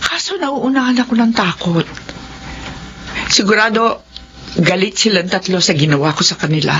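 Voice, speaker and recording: A woman's voice: medium pitch, expressive, moderate pace, fairly bright tone, a little hiss or hum. An actress playing a remorseful woman in her sixties in a Filipino radio drama, speaking in an earnest, pleading, confessional manner. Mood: confessing, regretful. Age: 60s. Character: remorseful 60-year-old mother seeking her abandoned children. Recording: muffled radio drama audio with music behind.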